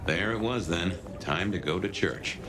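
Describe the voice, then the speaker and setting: A man's voice: low voice, background noise. A man voicing a sardonic, world-weary vampire detective in a video game, narrating in confiding noir voice-over. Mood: wry, brooding. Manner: wry, deliberate, confiding. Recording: background music under the narration.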